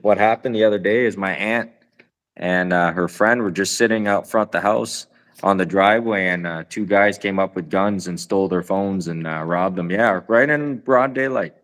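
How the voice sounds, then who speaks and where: A man co-hosting a podcast, chatting casually in a relaxed, rambling way, swearing now and then and laughing often. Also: low voice. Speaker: podcast co-host. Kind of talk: casual chat.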